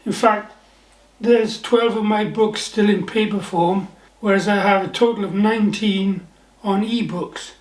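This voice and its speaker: Older Welsh man, author, high-ish voice, dry humorous anecdotes, roomy echo. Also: unhurried. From South Wales Valleys. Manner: relaxed, wry anecdotal telling. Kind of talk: personal storytelling to camera. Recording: echoey room.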